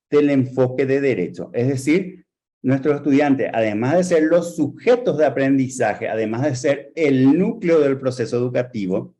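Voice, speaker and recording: A man with a Paraguayan Spanish accent giving an earnest academic talk to teachers, posing rhetorical questions and stressing his points with measured emphasis. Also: mid-range voice, warm tone. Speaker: lecturer.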